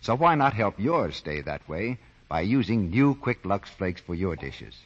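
A man's voice: deep voice, big pitch swings, unhurried, slightly rough. A man announcing a 1940s radio commercial in a polished, persuasive way. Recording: muffled 1940s radio recording.

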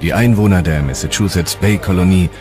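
A man narrating a historical documentary from a script in a measured, serious way. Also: low voice. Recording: music underneath.

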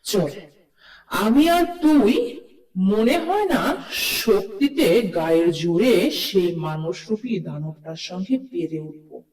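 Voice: medium pitch, animated, slow, a little mellow. A performer voicing a brave, earnest cartoon townsman with pleading emphasis, in a distant recording with long reverb. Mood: worried, hopeful. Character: brave, earnest townsman rallying his frightened friends. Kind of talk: cartoon story dialogue.

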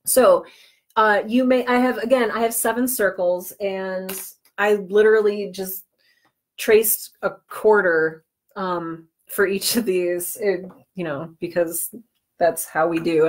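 A woman presents an informal tutorial to live viewers. She talks in a chatty way, breaking off into self-deprecating asides. She is slightly under the weather as she speaks. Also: medium pitch. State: under the weather with cold or flu, on medication. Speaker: livestream host teaching podcast business planning.

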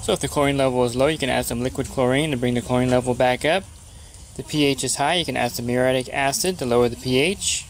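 A man's voice: mid-range voice, fairly even intonation, fast, a little hiss or hum. A pool service technician talking through his weekly routine in a plain, matter-of-fact instructional voice-over.

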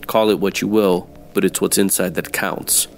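Deep-voiced man narrating radio noir. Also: little pitch movement, normal speed, vocal fry, a little hiss or hum. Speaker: narrator and detective in an audio drama.

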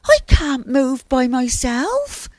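A woman's voice: high-pitched, big pitch swings, a little mellow, no echo, pitched above the performer's own voice, heavily acted. A woman voicing a sulky, plaintive little cloud in a children's story, drawing out its complaints. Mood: protesting. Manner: plaintive, drawn-out protesting.

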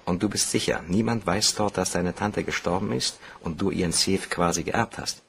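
An actor playing an embittered, determined bank cashier in a crime radio play, speaking in a brisk, commanding, husky way. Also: male voice, low-pitched, slight background noise. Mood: businesslike, urgent. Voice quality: gravelly, husky.

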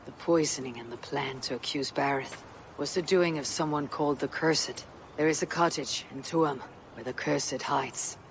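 Deep, stern Viking warrior woman. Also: normal speed, slight background noise, rough recording. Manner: terse, resolute. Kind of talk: video game cutscene acting.

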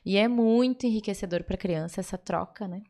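A woman's voice: mid-range voice, a little fast, warm tone. Southern Brazilian woman, enthusiastic podcast guest. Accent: southern Brazilian (gaúcho). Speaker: podcast guest, children's music teacher. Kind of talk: casual interview chat. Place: small indoor podcast studio. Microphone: studio microphone on boom arm.

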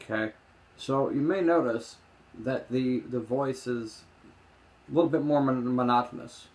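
A man giving an informal explanatory commentary, halting and emphatic, often restarting his phrases. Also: mid-range voice, a little mellow. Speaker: video creator. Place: small room at home.

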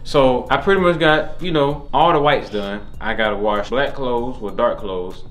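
A man's voice: medium pitch, moderate pace, fairly bright tone. A young man talking casually to the camera while dusting around his home, joking as he goes. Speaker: vlogger. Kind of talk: casual vlog talk while cleaning. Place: bright home rooms.

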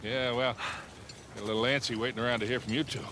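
A man's voice: medium pitch, flat delivery, fast, background noise, lightly acted. An actor playing an easygoing, wry, fatherly older adventurer in a video game. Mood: wry, relieved. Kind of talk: cinematic video game acting, casual.

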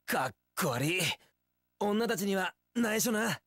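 An actor playing a young wandering warrior in an action game, easygoing, romantic and sincere, with casual, rough speech. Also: male voice, sits high, expressive, slow pace, a bit bright, no echo, clean studio sound, exaggerated voice. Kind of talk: Japanese action game voice acting.

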